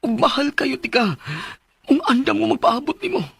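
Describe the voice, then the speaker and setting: An actor with a Cebuano Filipino accent playing an emotional, lovesick man in his thirties in a radio drama, with a husky voice. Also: very high-pitched, expressive, moderate pace, over loud music, heavily acted. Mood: desperate pleading. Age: 30s. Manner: emotional, pleading, swooping delivery. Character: Manuel, lovesick pleading man of thirty-two, repentant cheater. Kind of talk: Philippine radio drama acting.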